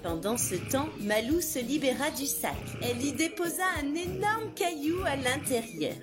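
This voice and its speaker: A woman narrating a scripted fairy tale for young children in an expressive, dramatic way. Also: high-pitched, moderate pace. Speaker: children's storyteller. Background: music behind the voice.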